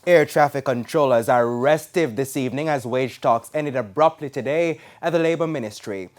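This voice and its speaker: A man's voice: mid-range voice, normal speed, darkish tone. A man anchoring a scripted business news bulletin in a formal broadcast style. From Jamaica.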